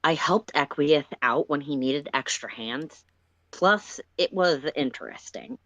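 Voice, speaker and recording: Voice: mid-range voice, lively intonation, unhurried, fairly bright tone, dry recording, highs cut off. Wry male alien crewmate, acted by a story reader, dry, insistent and bossy, close dry sound.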